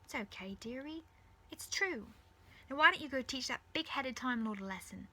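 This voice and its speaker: A woman's voice: high voice, lively intonation, normal speed, sharp tone, pitched above the performer's own voice, exaggerated voice. A woman voicing a tender, reassuring middle-aged mother, soothing and earnest, in a dramatised story reading. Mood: consoling.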